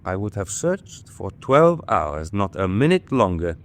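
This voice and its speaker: An actor playing a blunt, coldly practical submarine engineer in a wartime radio drama, curt and unsentimental. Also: male voice, sits low, very animated, a little slow, slight background noise, full character voice. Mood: curt, disapproving.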